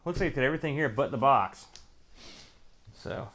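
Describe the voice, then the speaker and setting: A man's voice: mid-range voice, lively intonation, moderate pace, a bit bright. A man hosting a retro gaming show, giving casual, wry unboxing commentary in folksy slang as he thinks aloud while handling items.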